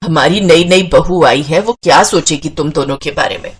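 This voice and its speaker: A woman voicing a middle-aged Indian mother in a storybook cartoon, firm and eager to please, speaking in a slow, emphatic way. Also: low-pitched, breathy edge, pitched below the performer's own voice. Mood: scolding.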